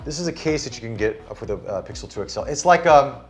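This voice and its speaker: A man's voice: mid-range voice, lively intonation, quick pace, faint music behind. A man reviewing a phone in casual chat, enthusiastic and demonstrating it hands-on. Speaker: tech reviewer. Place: Singapore studio.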